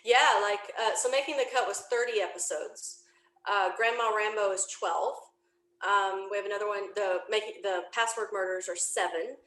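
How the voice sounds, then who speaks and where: A woman talks in a casual, chatty way, explaining things at length as an interview guest. Her pitch is average, and the room adds clear echo around her voice. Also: normal speed, fairly bright tone. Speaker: podcast creator being interviewed. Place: indoor home office, remote video call.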